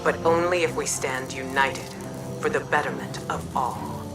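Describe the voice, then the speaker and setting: A woman playing a dignified, compassionate city leader giving a measured, earnest public speech in a video game. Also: rumbling low, steady tone. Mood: earnest, resolute. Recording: distant voice under loud music. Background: loud game soundtrack.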